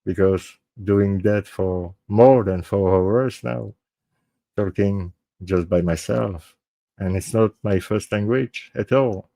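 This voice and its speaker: A man from Belgium with a French-speaking Belgian accent, whose first language is French, hosting a live true crime show. He speaks in a halting, earnest way, restarts his phrases often and slips into French. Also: lowish voice, gravelly, dark tone, dry recording. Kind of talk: casual live-stream sign-off.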